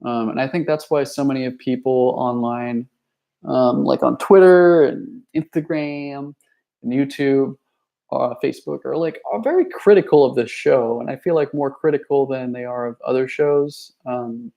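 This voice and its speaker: A young man talks off the cuff, his voice gravelly with a rough edge. He wanders from thought to thought, stacking fillers and false starts like someone chatting on a livestream. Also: mid-range voice, normal speed, warm tone. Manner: rambling, many fillers and restarts; reads viewer chat messages aloud. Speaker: youtuber. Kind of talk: casual livestream chat.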